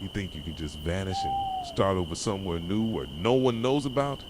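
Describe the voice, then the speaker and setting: Soft voice guy playing an intense possessive boyfriend, asmr roleplay, pitch all over the place, loud night crickets n owls behind. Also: huge pitch swings, brisk, very noisy. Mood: accusing, bitter. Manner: intense, pleading yet controlling, addressing a partner directly. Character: possessive, obsessive boyfriend confronting his pregnant girlfriend. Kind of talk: ASMR roleplay, dramatic monologue. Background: crickets, insects and hooting owls.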